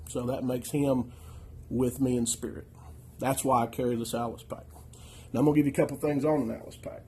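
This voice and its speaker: A middle-aged American man with a nasal, slightly husky voice, still getting over a chest infection. He talks through his gear in a plainspoken, folksy way, with a dark, mellow tone picked up by a camera mic in a living room. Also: mid-range voice. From United States. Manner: plainspoken, folksy, keeps checking in with "okay?". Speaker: outdoors channel host. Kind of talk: casual gear walkthrough.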